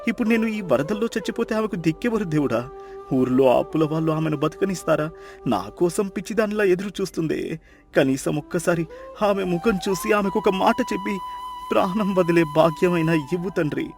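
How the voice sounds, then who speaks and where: Man acting a high-voiced expressive young fisherman. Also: brisk, creaky, soft background music. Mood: anguished pleading. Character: young fisherman husband, good-humoured, devoted, emotional.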